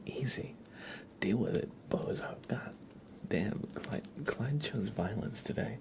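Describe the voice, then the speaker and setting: A man speaks low and close to the microphone, slipping between soft talk and whispers. His voice has a gravelly fry and sounds a little stuffy. He chats in a casual, teasing way, as an ASMR streamer does with viewers. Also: slow. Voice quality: stuffy nose. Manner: whispery, playful, teasing banter. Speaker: ASMR livestream host.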